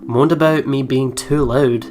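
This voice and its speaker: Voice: medium pitch, steady tone, unhurried. Chatty let's play host with music behind. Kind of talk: casual game commentary, reading game text aloud. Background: soft game music.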